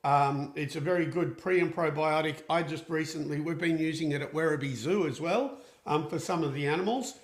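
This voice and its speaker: A man's voice: on the high side, a little fast. Upbeat chatty man, echoey room. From Austria. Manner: chatty, upbeat, many fillers and restarts. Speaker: equine therapist and show host. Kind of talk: casual live Q&A chat.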